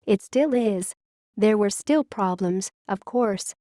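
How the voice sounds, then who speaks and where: A woman playing a wistful, grave founding scientist who confesses a secret history in a measured, literary audio-log monologue. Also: mid-range voice, mellow, no room sound, close to an everyday voice. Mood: confessional, uneasy.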